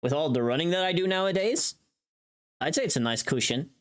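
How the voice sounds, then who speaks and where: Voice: mid-range voice, lively intonation, fairly quick. Casual streamer thinking aloud. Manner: thinking aloud, self-critiquing. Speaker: streamer recording voice lines. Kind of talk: casual livestream chat.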